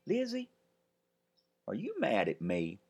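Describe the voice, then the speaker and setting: A man with a deep voice narrating, here voicing a chatty, cheeky ten-year-old country boy in a raised pitch. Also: wildly swooping pitch, takes it slowly, a little mellow, husky, exaggerated voice. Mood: earnest.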